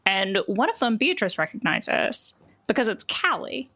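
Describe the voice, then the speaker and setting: A woman's voice: medium pitch, big pitch swings, unhurried, pushed and bright. A woman narrating a tabletop game as game master, improvising descriptions in a conversational way with casual asides.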